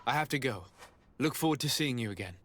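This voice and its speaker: An actor playing a resolute noble envoy in a game, composed, formal and authoritative. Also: male voice, medium pitch, lively intonation, fast talker, fairly bright tone, a little hiss or hum, natural voice. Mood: polite.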